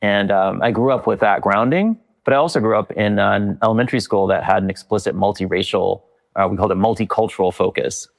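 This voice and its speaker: A man's voice: on the low side, fast talker, vocal fry. A middle-aged man being interviewed, speaking thoughtfully with frequent fillers and restarts. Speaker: guest, leadership consultant. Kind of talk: reflective conversational interview.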